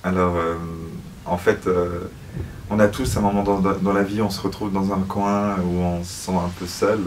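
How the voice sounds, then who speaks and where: A man's voice: sits low, little pitch movement, normal speed, distant mic, slight background noise. A man giving reflective interview answers as a guest, hesitant and searching for words. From Switzerland (Lausanne), born in Paris. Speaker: musical theatre actor, interview guest.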